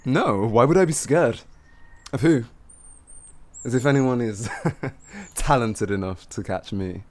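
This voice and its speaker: A man playing a cocky, flirtatious highwayman who teases and gloats in an improvised fantasy roleplay spoken to the listener. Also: animated, slow pace, pauses often, a little mellow. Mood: boastful. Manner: teasing, gloating, chuckling, improvised hesitations.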